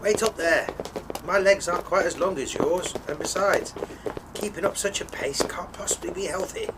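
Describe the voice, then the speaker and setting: A man playing a pompous, fussy British gentleman adventurer in an audio drama, florid and old-fashioned in manner. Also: sits high, moderate pace, noisy background. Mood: fussy, flustered.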